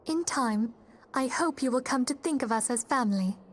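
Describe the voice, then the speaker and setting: A fantasy game's leader of a secret order, a woman with a fairly high voice. She speaks formally and courteously, in measured phrases, with composed authority. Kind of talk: video game cutscene acting.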